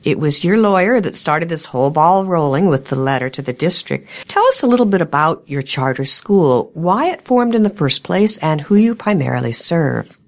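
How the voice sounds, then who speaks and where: A woman hosting a local public affairs talk show, hoarse today, speaking in a steady, measured news style. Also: low-pitched. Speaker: host.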